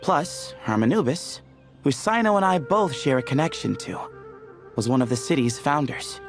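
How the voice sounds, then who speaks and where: A man's voice: medium pitch, animated, moderate pace, music in the background, natural voice. A man voicing a laid-back, teasing young temple leader who chuckles and jokes in game cutscene dialogue. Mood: easygoing.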